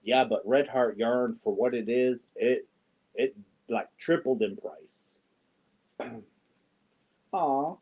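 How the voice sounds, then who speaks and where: A man in his fifties chatting casually with viewers on a yarn livestream, joking and often clearing his throat. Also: mid-range voice, slow pace, pauses often, a little mellow, highs cut off. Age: 50s. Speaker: yarn channel host. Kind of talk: casual livestream chat answering viewer comments.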